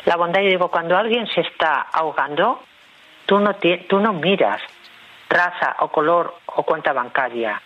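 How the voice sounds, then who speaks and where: Una mujer que habla de forma espontánea y conversacional en la radio. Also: female voice, fairly low pitch, lively intonation, moderate pace, lo-fi sound. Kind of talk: spontaneous conversational talk.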